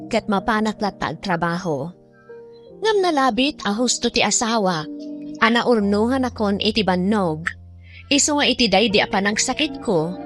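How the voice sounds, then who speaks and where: Babae nga agak-akto iti naanus a balasang, agsalsalaysay. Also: female voice, medium pitch, natural voice. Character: self-sacrificing adult daughter working abroad, weary and dutiful. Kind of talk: first-person radio drama narration in Ilocano.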